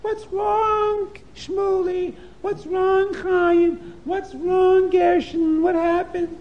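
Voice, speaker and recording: A man doing a high, fretful mother. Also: little pitch movement, extremely slow, lo-fi sound, full character voice. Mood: worried. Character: worried mother of young children.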